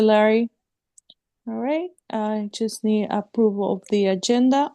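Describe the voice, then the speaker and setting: A woman with a sore throat chairing a council meeting as mayor over a video call, speaking haltingly with fillers and restarts. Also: mid-range voice, mellow, dry recording.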